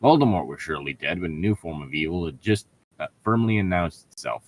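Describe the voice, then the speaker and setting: A man's voice: low voice, expressive, quick pace. A man reading fan fiction aloud in a casual, mocking way, swearing and stumbling over words as he makes sarcastic asides. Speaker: co-reader on a fan fiction riffing show.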